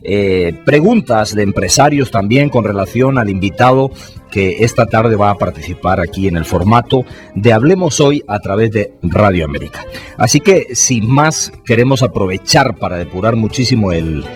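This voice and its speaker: A man's voice: fairly low pitch, expressive, normal speed. Radio host guy, talks formal, lots of eh's, faint music under him. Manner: warm formal greetings with frequent "eh" fillers. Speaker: radio talk show host. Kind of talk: live radio interview. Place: radio studio.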